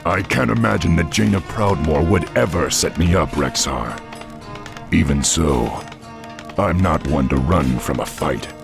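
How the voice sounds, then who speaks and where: An actor playing a noble, steadfast orc war chief in a fantasy game, gravelly and authoritative, speaking in a measured way. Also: male voice, rumbling low, full character voice. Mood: troubled, resolute. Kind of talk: fantasy game character acting. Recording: music under the voice.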